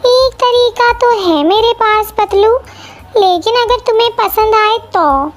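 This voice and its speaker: A woman's voice: very high voice, slow, soft background music, voice pushed up. A woman voicing a lazy, scheming crow wife who nags and taunts in a children's cartoon. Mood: scornful, scheming. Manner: bossy, taunting. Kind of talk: Hindi children's cartoon acting.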